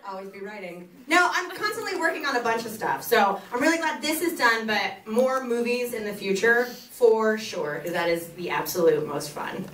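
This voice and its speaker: A woman's voice: fairly high pitch, expressive, moderate pace, few pauses, a bit bright. Chatty Southern woman on an echoey stage. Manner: chatty, self-mocking, laughs often. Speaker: author at a book signing. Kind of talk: live audience Q&A. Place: large theater stage. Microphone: handheld mic. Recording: echoey hall, heard from far off. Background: crowd noise.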